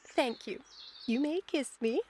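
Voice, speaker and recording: A woman's voice: high-pitched, measured pace, a little mellow, a little hiss or hum. A woman playing a haughty, sophisticated young Victorian society lady in a radio comedy, with formal, theatrical diction. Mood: haughty, startled. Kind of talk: scripted radio comedy acting.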